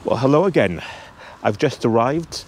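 A man's voice: medium pitch, lively intonation, moderate pace, a little mellow, a little hiss or hum. A man in his fifties chatting to a handheld camera as he walks outdoors on a cold hillside, talking straight to his viewers. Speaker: travel vlogger. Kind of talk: casual vlog walk-and-talk. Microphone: handheld camera at arm's length.

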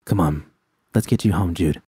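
A man's voice: low voice, normal speed, dark tone, slightly rough, no room sound, clean studio sound. A man voicing a tough, protective young woman mercenary who talks bluntly and swears, in an audiobook reading of a fan story. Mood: firm. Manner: blunt, profane.

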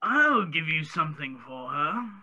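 A man's voice: fairly high pitch, very animated, measured pace, pushed and bright, lo-fi sound, full character voice. A middle-aged man playing a sly, mysterious stranger in a radio play, drawing out his words over a video call. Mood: sly, coaxing. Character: sly limping stranger trading magic beans. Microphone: headset on a video call. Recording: very noisy video call audio.

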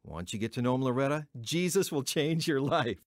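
An actor in an audio drama playing an earnest, emotional, wavering ex-convict who has newly found religion. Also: male voice, mid-range voice, expressive, fairly quick, no echo, studio quality, close to an everyday voice. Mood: excited, insistent. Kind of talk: audio drama acting and first-person narration.

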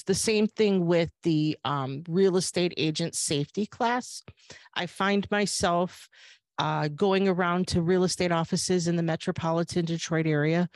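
A woman's voice: fairly low pitch, dry recording, clean studio sound. Chatty woman on a video call. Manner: conversational, frequent ums and uhs. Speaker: firearms instructor. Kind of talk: webinar presentation. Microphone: video call webcam.

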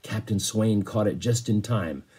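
A man in his fifties reading a story aloud, pausing for casual explanations. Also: lowish voice, darkish tone, breathy edge. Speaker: teacher reading to a class. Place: small bedroom, indoors.

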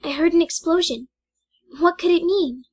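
A woman's voice: very high-pitched, moderate pace. A woman voicing a small, caring, anxious talking cube in a comic fan dub, pleading and cautioning. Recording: long reverb over background music.

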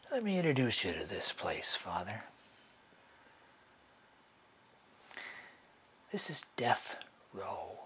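A man playing a bitter, sardonic convict awaiting execution in a dramatic monologue, taunting and hushed, with long pauses. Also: on the low side, breathy edge, exaggerated voice. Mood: grim, brooding.

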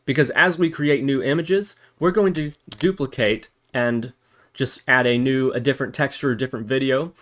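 A man's voice: medium pitch, a bit bright. A man narrating a programming tutorial, explaining step by step and thinking aloud as he goes. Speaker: tutorial host.

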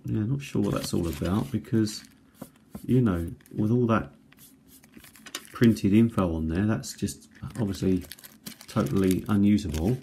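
A man with a low-pitched voice hosts a casual unboxing. He talks in a hesitant, musing way, thinking aloud as he goes. It is a home recording with faint noise behind him. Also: a little slow, vocal fry, dark tone. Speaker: video host reviewing items.

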